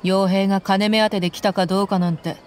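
A woman's voice: medium pitch, level tone, quick pace, close to an everyday voice. A woman voicing a blunt tough mercenary woman. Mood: stern. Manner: rough commanding, blunt threats. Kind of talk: Japanese game dub. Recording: game audio with loud music behind.

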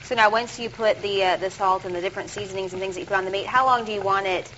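A woman's voice: medium pitch, very fast. A woman in her thirties hosting a live daytime TV cooking segment, steering it with encouraging questions. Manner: encouraging, steady backchanneling. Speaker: TV show host. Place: TV studio set. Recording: muffled broadcast audio with steady hiss.

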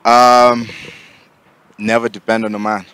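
A man in his thirties with a Kenyan accent, answering interview questions outdoors in a relaxed, slightly hesitant, joking way into a handheld mic. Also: lowish voice, a little slow, a little hiss or hum. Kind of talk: casual interview. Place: outdoor parking lot. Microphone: handheld mic held by interviewer.

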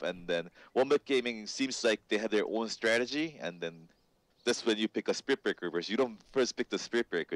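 Male co-caster, lowish voice, halting with fillers. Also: dry recording, low-quality recording. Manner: halting, many uh fillers, laughs between points. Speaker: esports co-commentator. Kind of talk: esports draft analysis.

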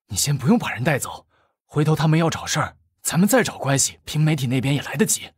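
A man's voice: fairly high pitch, lively intonation, fast, slightly rough, dry recording, studio quality. A man playing a cautious gang lieutenant in an audiobook, reasoning and deferential. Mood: urgent persuading.

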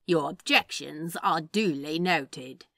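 A woman's voice: low-pitched, slow pace, creaky, bright, forceful tone, no echo, clean studio sound, full character voice. A woman voicing a teasing, sardonic male demon in a fan fiction reading, with a sly, casual drawl.

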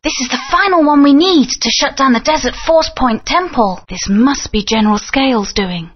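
Breathy high adventurer girl, determined, muffled game sound. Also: normal speed. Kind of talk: game voice acting. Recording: dull lo-fi game audio.

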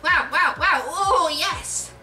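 An excitable teenage boy, played by a woman, whiny and gushing. The voice is high and bright, swooping around with melodrama, a little away from the mic with music behind. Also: brisk, far from the mic. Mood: overexcited.

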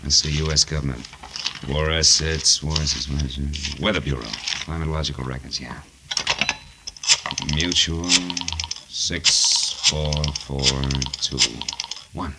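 Deep-voiced hard-boiled private eye. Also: male voice, steady tone, a little slow. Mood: probing. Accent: American. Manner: clipped, sardonic. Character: hard-boiled wisecracking male private detective. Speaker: lead actor and narrator. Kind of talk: 1940s radio noir acting and narration. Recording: noisy vintage 1940s radio recording.

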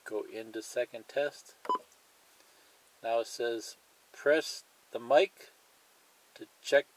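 A man's voice: mid-range voice, slow, no echo, low-quality recording. A man presenting a hands-on tutorial in a plain, methodical way, explaining each step and repeating it.

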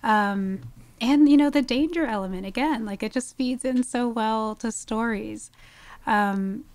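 A woman's voice: on the high side, measured pace, a little mellow, no room sound. A woman speaking informally as an expert guest in a casual interview. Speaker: podcast guest, wildlife ecologist.

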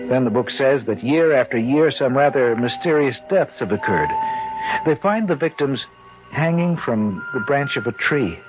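An actor playing an uneasy man telling his eerie story, measured and suspenseful, in 1940s radio style. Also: medium pitch, lightly acted. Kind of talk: 1940s radio acting, dramatic narration. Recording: muffled, noisy 1940s radio recording.

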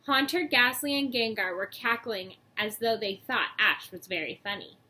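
A young woman reading a children's book aloud in a storytelling style, acting out dialogue and pausing to point out pictures. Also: fairly high pitch, pushed and bright. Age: young adult. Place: small room at home, indoors. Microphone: webcam or laptop mic at arm's length. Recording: noisy home webcam recording.

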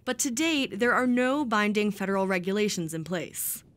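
Woman news reporter, scripted voice-over, measured and even. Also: mid-range voice, a little hiss or hum.

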